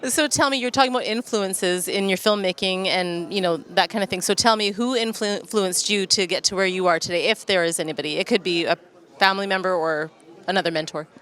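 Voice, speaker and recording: A woman's voice: medium pitch, fairly quick, fairly bright tone. A woman interviewing guests casually at a festival for television, with an effusive, encouraging manner.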